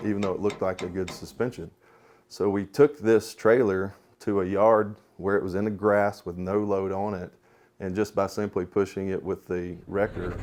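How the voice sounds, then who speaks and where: A man with a low voice speaks as an instructor in a training talk. He is plain-spoken and methodical, laying out his explanation step by step. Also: a little mellow, a little hiss or hum. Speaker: police sergeant and crash investigator, instructor.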